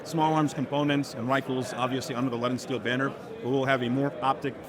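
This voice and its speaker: A man speaks quickly at a middle pitch, like a business owner casually explaining his product line. He uses informal sales jargon, with heavy convention crowd noise around him. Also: level tone. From Turkey or of Turkish family. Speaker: optics company owner at a trade show booth. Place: trade show floor. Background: loud crowd chatter.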